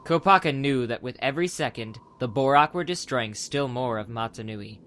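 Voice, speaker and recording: A man's voice: medium pitch, lively intonation, normal speed, fairly bright tone. A man narrating a dramatized adventure audiobook from a script as the storyteller.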